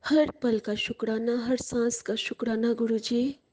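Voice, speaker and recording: A woman's voice: on the high side, steady tone, measured pace, a little mellow. A woman reciting a devotional prayer of thanks in a reverent, prayerful manner.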